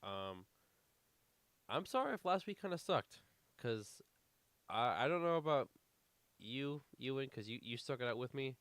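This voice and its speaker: A man's voice: mid-range voice, expressive, measured pace, pauses often, fairly bright tone, no echo. A man co-hosting a casual podcast, rambling with lots of fillers, a little congested. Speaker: podcast co-host. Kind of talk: casual chat.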